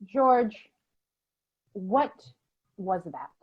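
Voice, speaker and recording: A woman acts a stern, condescending schoolteacher from a novel. Her voice is breathy and husky with animated pitch, brisk and patronizing, on a dull lo-fi recording. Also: exaggerated voice. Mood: disapproving. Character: stern, condescending female elementary school teacher. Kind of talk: dramatic novel reading. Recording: dull, lo-fi sound.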